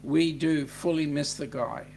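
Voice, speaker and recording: Man, Australian accent, casual livestream host, reads chat, jokey, slow pace, faint background hiss. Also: on the high side, gravelly, darkish tone. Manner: chatty, jokey, greets viewers by name.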